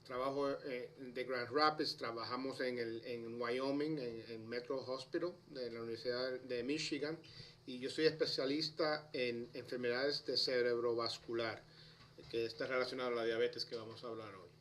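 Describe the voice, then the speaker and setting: A man from Puerto Rico, a specialist, explaining a disease carefully with pauses as a guest. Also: medium pitch, steady tone, a bit bright, slight background noise. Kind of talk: explanatory interview answers.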